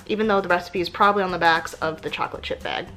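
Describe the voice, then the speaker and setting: A young woman vlogger giving chatty, expressive, self-deprecating advice, moving between casual talk and dramatic reading. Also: on the low side, racing, soft background music. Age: young adult. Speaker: advice vlogger for pre-dental students.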